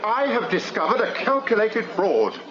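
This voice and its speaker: Fussy, high-pitched agitated professor. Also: male voice, very animated, normal speed. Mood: agitated, indignant. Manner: urgent, pedantic, fussy. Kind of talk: radio comedy drama acting. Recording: noisy, dull radio broadcast recording.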